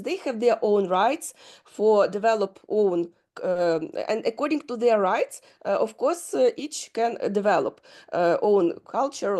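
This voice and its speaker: Halting woman with a Ukrainian accent. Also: medium pitch, a little mellow. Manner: halting, many fillers and restarts. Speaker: guest speaker. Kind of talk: lecture answering questions.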